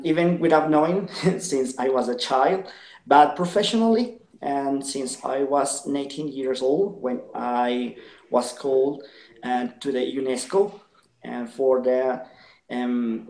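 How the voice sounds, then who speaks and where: A man's voice: medium pitch, darkish tone. Earnest young Spanish-accented man, echoey room. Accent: Latin American Spanish. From Ecuador. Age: 20s. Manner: earnest, halting with many fillers. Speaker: guest, social entrepreneur. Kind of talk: video call interview.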